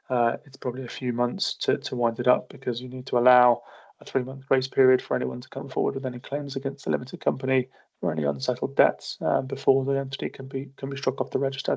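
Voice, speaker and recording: Man, gravelly creaky voice, flat delivery, losing his voice, webinar presenter, dull lo-fi audio. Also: mid-range voice. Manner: methodical, steady explaining with frequent small restarts. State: losing his voice, occasional coughs. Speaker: accountancy firm partner presenting a webinar. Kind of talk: explanatory business webinar talk.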